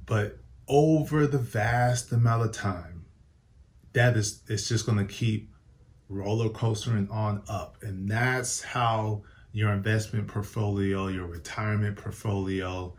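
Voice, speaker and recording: A man's voice: lowish voice, steady tone, takes it slowly. A man hosting a personal finance show, giving casual advice in an upbeat, emphatic way and often repeating or correcting himself. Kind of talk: casual advice talk to camera.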